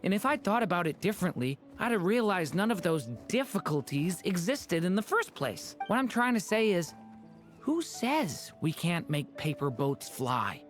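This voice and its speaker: A woman voicing an earnest, hopeful young boy dragon in a video game, boyish and expressive. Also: fairly low pitch, moderate pace. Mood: earnest, determined. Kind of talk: video game character acting. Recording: music under the voice.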